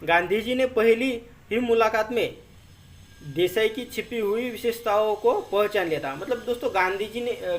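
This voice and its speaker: A presenter reads notes aloud as an informal explainer, repeating and rephrasing points and often addressing listeners as friends. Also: medium pitch. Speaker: educational video presenter.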